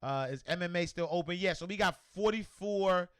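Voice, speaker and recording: Man, New York accent, loud, energetic, bright forceful voice, smooth and resonant, livestream host. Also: sits high, a little fast. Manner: loud and energetic, reads comments aloud. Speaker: livestream host answering viewer questions. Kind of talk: casual livestream chat. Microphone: desk microphone.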